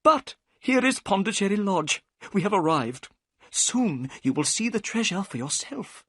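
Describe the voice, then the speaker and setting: A reader voicing a nervous, talkative gentleman who speaks with eager excitement, in a storybook reading. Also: mid-range voice, normal speed, slightly rough, no echo, studio quality, pitched above the performer's own voice. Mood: excited.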